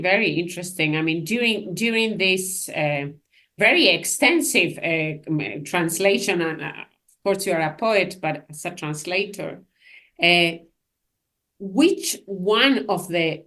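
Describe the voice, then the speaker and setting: Slow, low-voiced woman interviewer. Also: a bit bright. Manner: measured, hesitant questioning. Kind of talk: interview questions.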